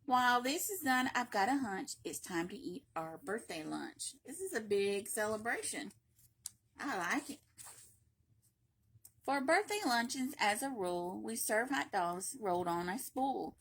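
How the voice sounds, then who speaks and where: A woman reading a children's picture book aloud in an animated, playful, emphatic way, with brief asides. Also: fairly high pitch, a little slow. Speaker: library read-aloud reader.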